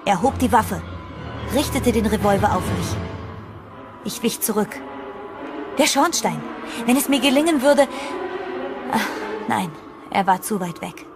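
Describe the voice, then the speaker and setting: Horror audio drama narrator, played by a woman: shaken heroine, dramatic first-person telling, mid-range voice, clear music bed. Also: medium pitch, expressive, a little slow, long pauses. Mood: tense, dramatic.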